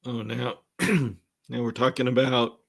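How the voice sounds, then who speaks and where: Enthusiastic man with a bright, strained voice. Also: mid-range voice, monotone, unhurried, creaky. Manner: enthusiastic, rambling with frequent restarts. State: voice going hoarse. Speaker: host answering viewer questions on a live stream. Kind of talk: live Q&A chat.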